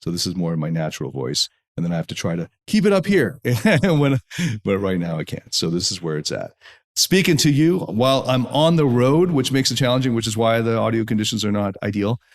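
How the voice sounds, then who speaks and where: A man hosting a podcast alone, recovering from a head cold that lowers his voice, talking in an earnest, preachy way in long run-on lists, in an echoey room while traveling. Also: very animated, a little fast. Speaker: podcast host. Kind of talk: solo podcast monologue.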